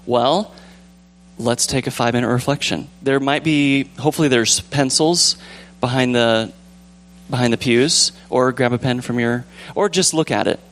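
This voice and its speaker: A young man with a calm, relaxed voice leading a casual church discussion, rambling gently with fillers and restarts. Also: mid-range voice, normal speed. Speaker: church group leader. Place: church sanctuary with pews.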